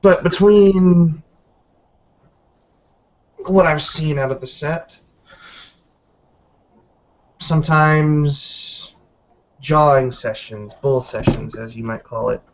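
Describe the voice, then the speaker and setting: Man playing a deliberate, folksy werewolf packmate. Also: a little high, lo-fi sound. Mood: measured, advising. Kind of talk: improvised in-character tabletop roleplay.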